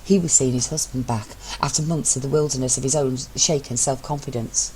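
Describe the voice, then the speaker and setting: A woman's voice: rumbling low, steady tone, brisk, dark tone, a little hiss or hum, rough recording. A woman reading fiction aloud as an amateur narrator. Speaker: amateur fan fiction reader. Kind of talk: scripted story narration.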